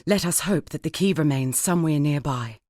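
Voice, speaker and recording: A woman playing an earnest, formal woman scholar in a dark fantasy video game, speaking in a measured way. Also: sits low, dry recording, studio quality. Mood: earnest, informative. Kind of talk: scripted dramatic game dialogue.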